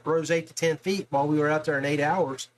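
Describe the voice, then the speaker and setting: A man's voice: on the high side, fairly even intonation, fast. A man with an American accent chatting casually as the host of a podcast. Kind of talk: casual chat.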